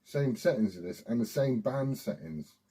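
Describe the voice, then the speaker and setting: A British man with a husky voice rambling informally and swearing as he hosts a casual hobby vlog. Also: mid-range voice, lively intonation, moderate pace, warm tone. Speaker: CB radio hobbyist video host. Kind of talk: casual rambling chat to camera.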